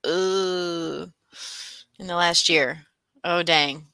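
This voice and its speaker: A woman's voice: fairly low pitch, fairly even intonation, extremely slow, gravelly, pushed and bright, smooth, dry recording. A woman chatting casually with a friend as a guest on a reading stream, playful and thinking aloud as she talks. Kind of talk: casual chat between friends.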